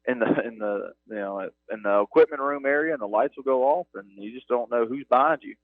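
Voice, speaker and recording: A man's voice: medium pitch, a little fast. A man who runs game operations at a stadium, a guest on a halftime radio interview, talking earnestly and rambling with many "you know"s. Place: press box broadcast booth at a stadium. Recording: narrow phone-like radio broadcast, dry.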